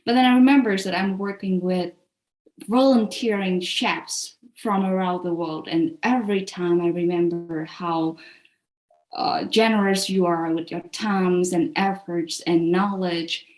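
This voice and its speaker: A woman's voice: on the low side, fairly even intonation, a little slow, darkish tone. Echoey, candid woman. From Vietnam. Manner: candid, earnest. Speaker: culinary association staff member and webcast host. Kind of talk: casual, personal interview talk. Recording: echoey room sound.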